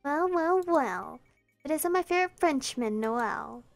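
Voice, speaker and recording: A woman's voice: sits high, lively intonation, unhurried, creaky, no room sound, soft background music, full character voice. A woman voicing a cocky teenage girl prankster who teases with mock sincerity. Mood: teasing. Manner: smug, goofy, mock-sincere.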